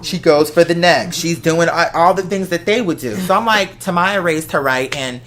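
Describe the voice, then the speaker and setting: A host in a casual personal chat, telling stories in an animated way with examples and acted-out quotes. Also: mid-range voice, normal speed.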